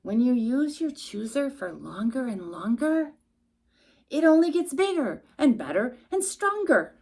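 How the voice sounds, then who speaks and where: A woman reading a rhyming picture book aloud to a young child as a storytime host, expressive and emphatic, with little asides to the listener. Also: sits high, measured pace, slightly rough.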